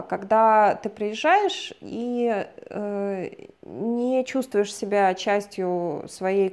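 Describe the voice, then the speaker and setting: Measured woman explaining like a lecturer. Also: mid-range voice, darkish tone. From Russia. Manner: measured, lecturing, hedges often with "let's say". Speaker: guest expert on migration. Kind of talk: explanatory conversation.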